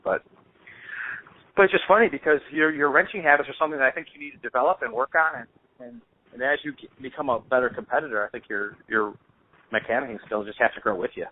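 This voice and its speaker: Fast-talking man on a dull phone recording. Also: medium pitch. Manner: informal, slangy, rambling. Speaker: host of a hobby radio-controlled racing call show. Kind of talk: casual phone chat, anecdotal storytelling. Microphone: phone call. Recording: dull lo-fi phone-call audio.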